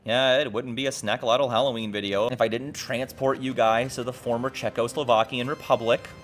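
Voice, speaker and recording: A man's voice: mid-range voice, steady tone, brisk. A man narrating a video essay as host in a wry, exclamatory, casual style with some swearing. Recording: music behind the voice. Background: background music.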